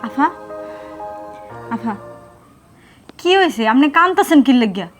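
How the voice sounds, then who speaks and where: A woman's voice: high voice, very animated, a little slow, soft background music. A woman playing an anxious, caring younger sister-in-law in a drama, urgent and coaxing, with a Barisal accent from southern Bangladesh. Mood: worried. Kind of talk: emotional drama acting.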